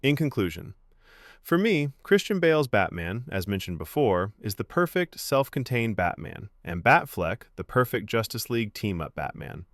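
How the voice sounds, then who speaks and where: A man's voice: fairly low pitch, big pitch swings, normal speed, fairly bright tone, no echo, clean studio sound. A man hosting a fan ranking video, giving enthusiastic, opinionated scripted narration. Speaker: host of a comic film ranking video.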